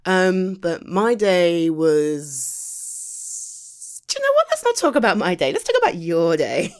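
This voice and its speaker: A woman's voice: lowish voice, big pitch swings, unhurried. A woman with a British accent playing a caring, tired girlfriend home from the office, talking in a soothing, chatty, hesitant way in an intimate ASMR roleplay. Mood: weary, chatty.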